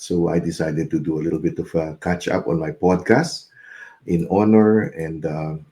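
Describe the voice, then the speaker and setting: Male podcast host, low and mellow, easygoing code-switching chat. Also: moderate pace. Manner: mixes English and Filipino, relaxed, chuckling, many fillers. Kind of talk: casual interview chat. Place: New Zealand.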